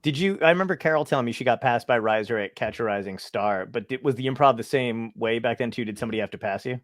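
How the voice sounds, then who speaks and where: Animated male podcast host, dry sound. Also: medium pitch, fast, no echo. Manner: animated, self-deprecating storyteller. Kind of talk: casual interview chat.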